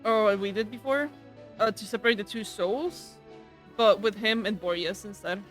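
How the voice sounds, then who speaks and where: A woman's voice: medium pitch, moderate pace, soft background music. A woman streamer giving casual, slangy, exclamatory reactions while playing a game.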